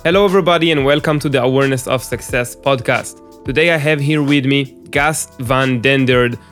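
A man's voice: medium pitch, fairly quick, a bit bright, faint music behind. A man hosting a podcast interview, talking casually with frequent fillers and restarts. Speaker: podcast host.

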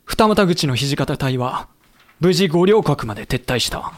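A man acting as a wry, composed samurai official who narrates a war in a literary, measured way for an audio drama. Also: on the high side, lively intonation, a little hiss or hum. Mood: reflective. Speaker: narrator and character.

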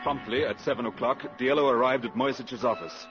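A man's voice: mid-range voice, brisk. A man narrating a 1950s radio drama in a scripted style. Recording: muffled old radio recording with music. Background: music.

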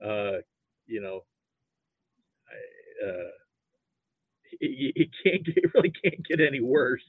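An American man heard through a narrow, phone-like connection with a dry sound. His voice is mid-pitched, and he chats about sports in a folksy, halting, rambling way. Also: big pitch swings, breathy edge, no room sound. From Nebraska, United States. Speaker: podcast guest, sports fan. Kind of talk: casual chat. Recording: thin phone-like narrow-band line.

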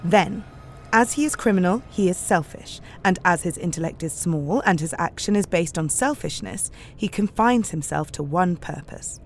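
A woman's voice: lowish voice, lively intonation, normal speed, a little hiss or hum. An actress playing a thoughtful, earnest young woman who reasons things out in an audio drama. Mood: reflective. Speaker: heroine of a gothic tale.

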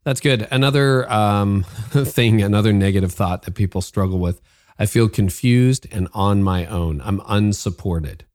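A man's voice: lowish voice, unhurried, darkish tone, no echo, studio quality. Curious man hosting a podcast. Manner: curious, conversational, asks follow-up questions. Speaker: podcast host. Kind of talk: interviewing.

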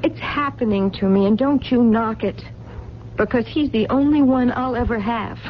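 Actress as high-voiced, tough, lovestruck woman. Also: moderate pace, lightly acted. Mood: defiant, lovestruck. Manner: blunt, emotional, colloquial. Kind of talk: radio mystery drama acting. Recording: muffled, noisy 1970s radio broadcast.

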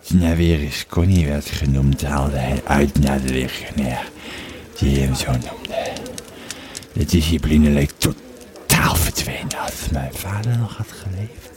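Old legionary veteran, male actor, audio play: bass-deep, halting, grim storyteller, crowd murmuring behind. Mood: grave, reminiscing. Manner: slow, halting, dramatic storyteller.

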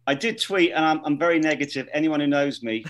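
A man's voice: fairly high pitch, quick pace, fairly bright tone. A man who is a sports presenter, a guest on a fan podcast, talking in a self-deprecating way with hesitant restarts. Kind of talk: casual podcast chat.

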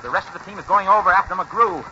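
A clipped, urgent sports reporter on vintage radio. His voice is high and forceful. The recording is muffled, with some hiss. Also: fast. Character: urgent male field-side baseball radio reporter. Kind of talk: 1940s sports commentary acting.

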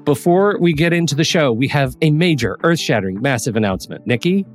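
A man hosting a podcast in a casual, chatty way, expressive and playfully dramatic in his emphasis. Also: a little high, a little fast, soft background music. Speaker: podcast host.

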